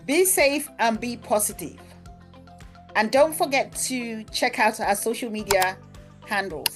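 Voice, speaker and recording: A woman's voice: a little high, unhurried, over music. A woman narrating a scripted news article aloud as a newsreel presenter.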